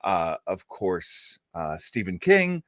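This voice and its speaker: Male radio co-host, unhurried and very animated in pitch, casual, playful and halting, closely recorded and dry. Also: no room sound. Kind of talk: casual chat introducing old-time radio.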